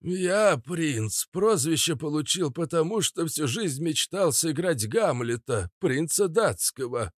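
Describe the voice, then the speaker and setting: A man voicing a courteous, shabby would-be actor with a hoarse voice and a theatrical manner in an audiobook. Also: fairly high pitch, expressive, takes it slowly, few pauses, dry recording, clean studio sound, pitched above the performer's own voice.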